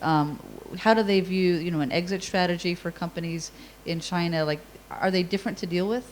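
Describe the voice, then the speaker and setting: A woman's voice: on the low side, fairly even intonation, brisk, slight background noise. A woman interviewer asking brief, direct questions in a business interview.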